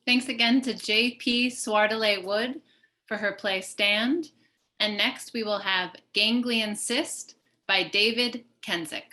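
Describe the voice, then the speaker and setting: A woman's voice: mid-range voice, slow, bright, forceful tone. A woman hosting a live online event, announcing each item. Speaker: host. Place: indoors, live video call.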